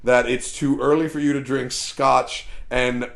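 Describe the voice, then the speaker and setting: Gravelly chatty host in his forties. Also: mid-range voice, flat delivery. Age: 40s. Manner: chatty and teasing, quick to laugh. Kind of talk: live streamed interview.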